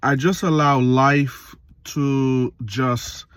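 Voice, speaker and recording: A man in his late thirties, from Jamaica, giving a reflective casual monologue for his video channel, slow and full of pauses and fillers. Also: medium pitch, steady tone, a bit bright, clean tone, no room sound. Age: 30s. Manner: slow, pausing often with fillers. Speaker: vlogger.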